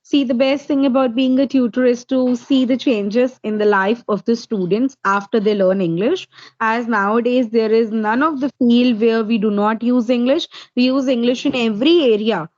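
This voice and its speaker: A woman's voice: a little high, steady tone, moderate pace, darkish tone, no room sound, muffled recording. A woman from India, a native Hindi speaker with an Indian accent, answering interview questions as an English tutor and laying out her points one by one. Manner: explains step by step, numbering points.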